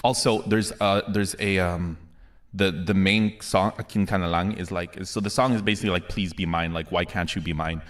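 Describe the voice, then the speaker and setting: A man's voice: sits low, steady tone, normal speed. A man from the Philippines hosting a karaoke livestream, chatting casually and slangily with his viewers as bros and boys. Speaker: livestream host and karaoke singer. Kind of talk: casual livestream chat between songs. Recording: music playing loudly behind the voice. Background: loud karaoke backing music.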